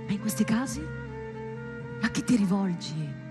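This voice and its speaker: A woman delivering a theatrical monologue as a stage performer, in a dramatic, deliberate and emphatic manner. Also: medium pitch, very animated, warm tone, soft background music.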